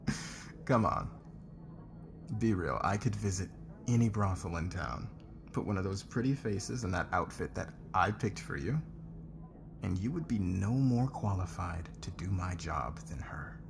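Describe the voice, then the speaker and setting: A man's voice: sits low, expressive, creaky, background noise, exaggerated voice. A man playing an arrogant, demanding company boss who talks down to people in an ASMR roleplay. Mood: scornful, taunting. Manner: condescending, commanding, deliberate.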